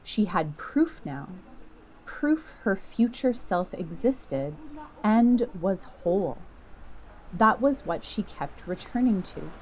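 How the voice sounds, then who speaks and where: A woman reading a scripted literary story as narrator, in a measured, reflective manner. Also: medium pitch, animated, darkish tone, poor audio.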